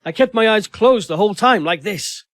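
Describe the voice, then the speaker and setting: A man's voice: high voice, expressive, moderate pace, no room sound, studio quality, pitched above the performer's own voice. A man voicing a trusting, fearless little boy in an audio folk tale, earnest and innocent. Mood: eager, reassuring.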